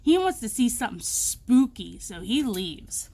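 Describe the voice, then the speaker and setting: Woman reading a kids story, highish voice, super animated and expressive. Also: a little slow. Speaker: children's story reader. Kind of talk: animated storybook read-aloud.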